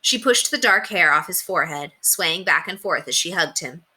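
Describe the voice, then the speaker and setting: Bright, expressive woman storyteller, lowish voice. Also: normal speed, slightly rough, rough recording. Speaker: storyteller reading aloud to children. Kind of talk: expressive scripted narration of a children's book.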